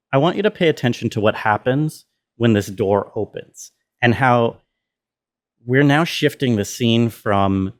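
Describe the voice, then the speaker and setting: A man giving a casual tutorial as a sound designer, explaining step by step and checking in with the listener. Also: mid-range voice.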